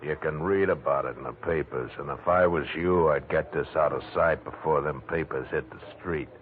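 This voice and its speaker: An actor playing a menacing, mockingly polite ex-convict gangster with an American accent, in slangy 1940s radio acting. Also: male voice, a bass voice, moderate pace. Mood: sly, warning. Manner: taunting, slangy tough-guy talk. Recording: tinny 1940s radio recording, faint noise.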